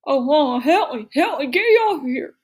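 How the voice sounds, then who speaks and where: A woman's voice: sits high, animated, moderate pace, pitched above the performer's own voice. A woman voicing a panicked, tearful teenage boy in a storybook, mumbling and pleading for help. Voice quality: muffled, mumbling as if mouth is full. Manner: wailing, pleading.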